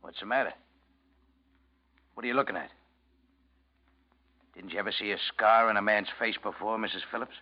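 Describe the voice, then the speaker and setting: An actor playing a shady stranger in a 1940s radio noir, halting and casual with a faint menace. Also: male voice, lowish voice, close to an everyday voice. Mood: suspicious, needling. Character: scarred, shady stranger feigning a stalled car. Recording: muffled old radio broadcast.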